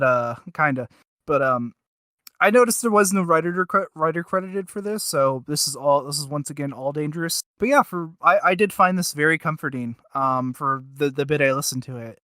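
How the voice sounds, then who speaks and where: Male podcast co-host, bright slightly high voice, joking and halting delivery, very dry close sound. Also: no room sound. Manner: joking, halting, self-interrupting. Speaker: podcast co-host reviewing audios. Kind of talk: casual review chat.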